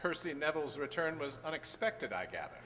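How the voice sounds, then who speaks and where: An actor playing a shrewd, dryly superior gentleman detective in a radio play. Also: on the high side, sing-song, a little fast. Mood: probing. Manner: crisp, probing, wry. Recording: noisy, echoey hall recording.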